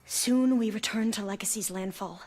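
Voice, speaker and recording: An actress playing a devout, guarded woman in a video game, speaking in a formal, measured, reverent way. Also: medium pitch, darkish tone, lightly acted. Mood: guarded, solemn. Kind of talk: dramatic video game acting.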